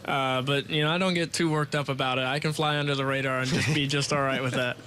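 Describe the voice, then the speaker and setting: A young man, a college basketball player, giving measured, matter-of-fact answers in a media day interview. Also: medium pitch, fairly even intonation, pushed and bright. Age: young adult.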